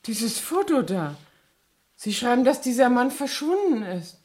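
High-voiced, nosy cleaning lady. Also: big pitch swings, a little slow, darkish tone. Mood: astonished, chatty. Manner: artless, persistent, grumbling. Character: nosy, naive-seeming, chatty French cleaning woman. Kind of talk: 1970s radio play acting.

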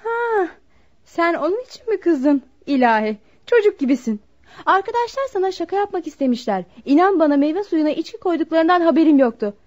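A carefree, impatient young girlfriend in a radio play. The pitch is high and very animated, full of coaxing and pouting. The sound is dull and lo-fi. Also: fairly quick. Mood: dismissive, teasing. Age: young adult. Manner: coaxing, petulant, dismissive. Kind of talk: Turkish radio theatre acting. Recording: dull lo-fi radio drama recording.

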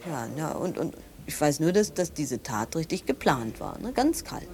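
An actress playing an opinionated sister in a naturalistic audio drama, talking chattily with halting restarts and tag questions. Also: female voice, low-pitched, sing-song, a little mellow. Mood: accusing, agitated. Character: animated, opinionated sister of a wealthy man, accusing.

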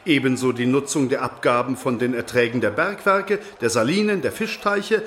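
An actor playing a formal medieval court counsellor in a radio drama, reciting with pedantic precision. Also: male voice, medium pitch, normal speed, fairly bright tone, natural voice.

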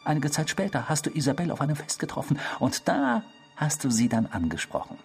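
An actor in a radio drama playing a sardonic, tormenting inner voice, with a husky, mocking and theatrical delivery. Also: male voice, a little high, sing-song, brisk, faint music behind. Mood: coolly taunting. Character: sardonic tormenting inner voice claiming to be a man's destructive self.

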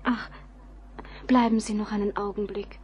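An actress playing a troubled young bride in a German radio play, speaking in a soft, hushed and hesitant way. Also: female voice, medium pitch, flat delivery, moderate pace, muffled recording, lightly acted. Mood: uneasy, polite. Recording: faint background noise.

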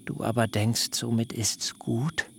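Ein Schauspieler spielt in einem Hörspiel einen verletzten jungen Bräutigam, der gedämpft und schwer klagt. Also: male voice, mid-range voice, flat delivery, unhurried, gravelly, a little hiss or hum. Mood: anguished. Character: aggrieved young bridegroom, bitter and pleading. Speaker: actor in a radio play. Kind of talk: literary radio drama acting.

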